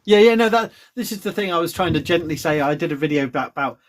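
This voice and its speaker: A man's voice: on the high side, lively intonation, quick pace. A British man hosting a casual live-stream conversation, chatty and hedging, with many restarts and fillers. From Britain. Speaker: host.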